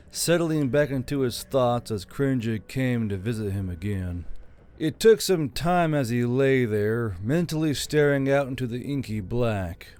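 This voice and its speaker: A man's voice: mid-range voice, a little mellow, clean tone. A man reading a fantasy novel aloud as a scripted audiobook narrator. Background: background music.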